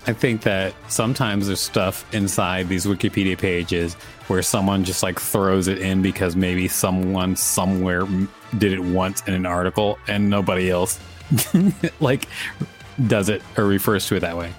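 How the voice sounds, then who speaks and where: A man's voice: low voice, steady tone, normal speed, soft background music. A man co-hosting a podcast, reading an article aloud and chatting casually about it. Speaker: podcast co-host.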